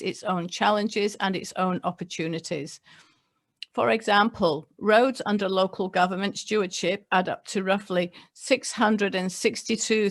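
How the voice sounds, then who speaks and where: A middle-aged woman born in England, a local government leader giving a prepared webinar address in a measured way, reading figures from her notes with small slips. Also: mid-range voice. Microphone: computer mic on a video call.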